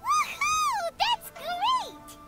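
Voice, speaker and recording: A woman voicing a small, excitable, childlike fairy companion in a video game, with a squeaky voice and music behind. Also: very slow, full character voice. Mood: thrilled. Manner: speaks of self in third person, squeaky.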